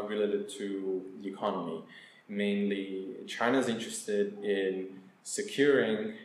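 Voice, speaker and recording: A young man from Italy speaks in a low voice, answering questions at a measured pace and pausing often on fillers. The room is echoey and a faint hiss sits under the voice. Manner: measured, with frequent filler pauses. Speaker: guest. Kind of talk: academic interview answers.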